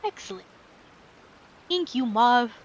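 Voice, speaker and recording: Playful woman streamer with higher-pitched voice. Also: animated, takes it slowly, muffled recording, a little hiss or hum. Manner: playful, wry asides. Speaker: game streamer. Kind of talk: casual live gameplay commentary. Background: faint game music.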